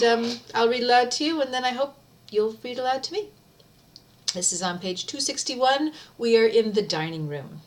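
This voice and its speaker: A woman's voice: mid-range voice, fairly bright tone, a little hiss or hum. A middle-aged woman speaking informally, as someone sharing and reading a favorite book aloud in an amateur video. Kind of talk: casual chat and amateur read-aloud of a book.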